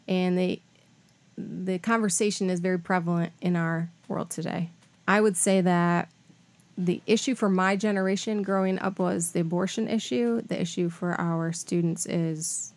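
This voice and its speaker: A woman's voice: fairly low pitch, a little mellow. A woman speaking as a podcast guest on faith, in a thoughtful, conversational way.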